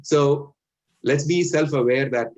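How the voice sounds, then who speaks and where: A man's voice: medium pitch, steady tone, a little slow, a little mellow. A middle-aged man from India with an Indian accent, giving earnest career advice and often checking in with "right?". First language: Indian language. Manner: earnest, instructive, peppers points with "right?". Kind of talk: motivational talk. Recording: dull lo-fi sound.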